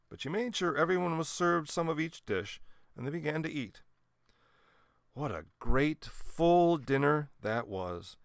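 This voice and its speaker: A man narrating a children's storybook in an expressive way with dramatic emphasis. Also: medium pitch, vocal fry, no room sound, clean studio sound. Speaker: storybook reader.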